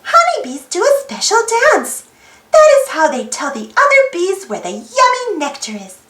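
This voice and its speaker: A woman reading a children's storybook aloud in an expressive, sing-song way, putting simple questions to young listeners. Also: very high voice, normal speed, echoey room. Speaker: storybook reader. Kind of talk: children's read-aloud narration.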